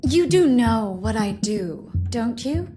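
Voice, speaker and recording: A woman playing a proud, overdramatic stage actress with a sharp tongue in a mystery game. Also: fairly high pitch, animated, slow, faint music behind. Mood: curt, peeved. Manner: theatrical, overdramatic. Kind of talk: scripted game dialogue.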